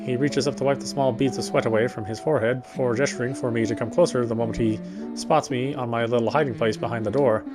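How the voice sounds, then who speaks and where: A man reading a horror game story aloud, with expressive, lingering narration. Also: medium pitch, fast, over music. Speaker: let's player reading a visual novel aloud.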